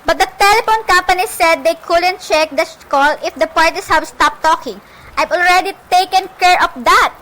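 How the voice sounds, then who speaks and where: A high-pitched, fretful wife confined to her bed, acted by a woman in an amateur radio drama. She is fearful yet demanding, pressing her point and stumbling over repeated words. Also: moderate pace, bright, forceful tone, low-quality recording. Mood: insistent, indignant. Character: anxious, demanding bedridden wife, fearful and insistent. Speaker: student actor in a group project. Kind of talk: amateur radio play acting.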